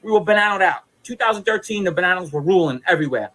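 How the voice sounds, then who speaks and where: A man's voice: high voice, animated, very fast, fairly bright tone, low-quality recording. A man, delivering a defiant, boastful, profane monologue. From New York City. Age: 30s. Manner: blunt, boastful, insistent, profane. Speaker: former mobster making a video statement. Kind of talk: defiant personal monologue to camera.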